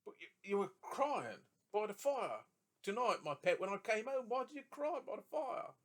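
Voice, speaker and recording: A man voicing an excitable elderly porter, speaking in a high, gushing, breathless way. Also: moderate pace. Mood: joyful.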